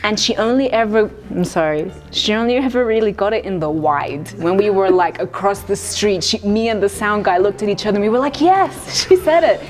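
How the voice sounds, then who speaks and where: A young woman director speaking in a thoughtful, measured way, with plenty of fillers, in a festival interview. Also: mid-range voice, animated, faint music behind. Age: young adult. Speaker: writer and director.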